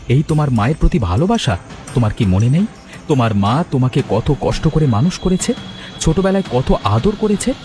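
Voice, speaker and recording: Principled young family man for cartoon drama male actor, preachy, very animated pitch, prominent music behind. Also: natural voice. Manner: emphatic, moralising, questioning. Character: righteous, caring son-in-law who scolds and moralises.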